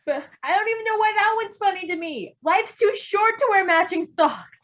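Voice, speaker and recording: A woman reading fan fiction aloud in an expressive way, breaking off into casual, sweary asides. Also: very high voice, normal speed, a little hiss or hum. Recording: dull lo-fi microphone.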